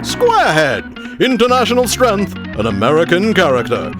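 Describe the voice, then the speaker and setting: A man playing a hearty, suave 1930s radio announcer, narrating and pitching a sponsor in scripted, salesmanlike style. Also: huge pitch swings, moderate pace. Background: music.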